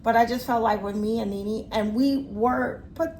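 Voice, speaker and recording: A woman's voice: fairly high pitch, normal speed, darkish tone, slight background noise. A woman in her forties, a vlogger chatting casually and reflectively with her sister, often trailing off mid-sentence. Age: 40s. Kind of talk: casual chat.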